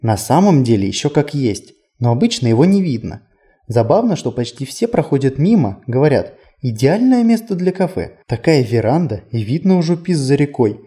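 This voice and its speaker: A man's voice: medium pitch, big pitch swings, moderate pace, warm tone, close to an everyday voice. A man voicing a whimsical, chatty male tour guide in an audiobook, conversational, playful and self-deprecating. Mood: brisk, inviting. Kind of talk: audiobook character dialogue.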